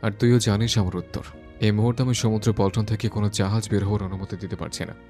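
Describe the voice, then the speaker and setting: Deep, fast-talking, commanding young man voiced by an actor. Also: fairly even intonation, dark tone, soft background music, close to an everyday voice. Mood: firm. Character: brusque, commanding young man, romantic lead of the family. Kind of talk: Bengali audio story acting.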